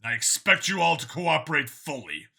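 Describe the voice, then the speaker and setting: Man acting a curt, bright-voiced space cop. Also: a little high, very animated, moderate pace, vocal fry, slightly rough, full character voice. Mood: commanding. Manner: curt, dry, authoritative. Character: human male alien-world cop, calm, commanding, dryly confident. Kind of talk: audiobook character voice.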